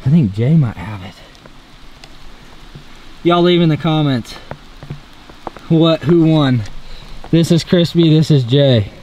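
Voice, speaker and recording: A chatty young man narrates for his camera outdoors, cheerful and quick to poke fun at himself. His voice sits a little high, and wind and rain noise come through the camera's own mic. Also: animated, lo-fi sound. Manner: upbeat, chatty, self-deprecating. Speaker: vlogger filming an archery event. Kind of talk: casual vlog narration. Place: outdoors on a rainy mountain course. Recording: outdoor camera audio with loud background noise.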